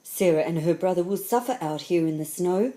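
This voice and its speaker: A woman's voice: lowish voice, fairly quick, dark tone, close to an everyday voice, low-quality recording. Woman voicing a wistful, earnest stone lion. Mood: anguished pity. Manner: earnest, yearning. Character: longing, tender-hearted stone lion statue, male, wistful. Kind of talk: storybook character voice.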